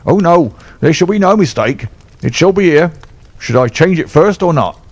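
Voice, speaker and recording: A man voicing a fierce, bullying elderly horse trainer who blusters and shouts, raised well above his own voice. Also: high-pitched, expressive, quick pace, dry recording, pitched above the performer's own voice. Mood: cringing pleading. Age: older.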